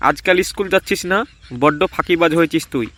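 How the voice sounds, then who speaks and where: A man's voice: fairly high pitch, quick pace, pushed and bright, a little hiss or hum. A man voicing a chiding village schoolmaster in a comedy cartoon. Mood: chiding, explaining.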